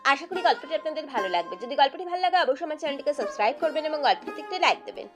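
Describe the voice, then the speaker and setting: High-pitched, expressive woman storyteller. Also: very fast. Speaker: storyteller reading for own channel. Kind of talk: scripted audiobook narration of a literary short story. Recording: music behind the voice. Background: background music.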